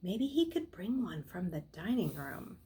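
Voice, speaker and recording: A middle-aged woman reading a novel aloud as a storyteller, in expressive narration. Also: lowish voice, unhurried. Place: small furnished room at home, indoors.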